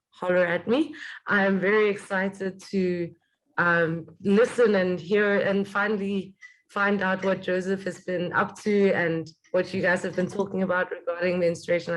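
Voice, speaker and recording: A woman's voice: on the low side, poor audio. A woman introducing herself as a guest on an online call, breezy, informal and self-deprecating. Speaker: guest, scholarship program staff. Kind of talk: casual chat, self-introduction.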